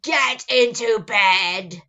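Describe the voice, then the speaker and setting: A woman voicing a bossy, hulking male monster in a storybook, with gruff grunts and growls. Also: a little high, drawn-out words, bright, forceful tone, dull audio, exaggerated voice. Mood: thundering.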